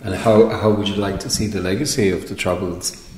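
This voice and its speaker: A man's voice: fairly low pitch, fairly even intonation, a little fast, darkish tone. A male interviewer who frames his questions hesitantly, repeating words as he goes. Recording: echoey room with faint noise.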